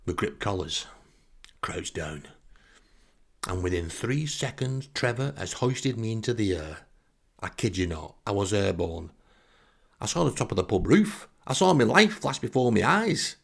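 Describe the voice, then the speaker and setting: Gravelly, animated Northern English man. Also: fairly low pitch, a little slow. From Northern England. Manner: self-deprecating, wry. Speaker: comic storyteller. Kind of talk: humorous first-person anecdote.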